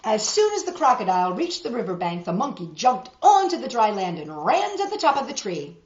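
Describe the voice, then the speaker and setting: A woman's voice: fairly high pitch, big pitch swings, fairly quick, darkish tone, roomy sound, dull audio. A woman hosting a children's storytime, reading picture books aloud and chatting playfully with young viewers.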